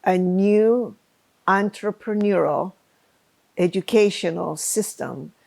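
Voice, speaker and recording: Gravelly woman guest, emphatic. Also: mid-range voice, slow pace, a little mellow. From Chile. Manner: emphatic, speaks in short bursts. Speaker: guest, program founder. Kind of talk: conversational interview.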